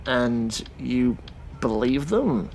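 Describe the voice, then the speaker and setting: A man playing a thoughtful, sceptical taxi driver in a naturalistic audio drama, talking in a casual, halting way. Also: medium pitch, big pitch swings, a little mellow, slight background noise, exaggerated voice. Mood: curious.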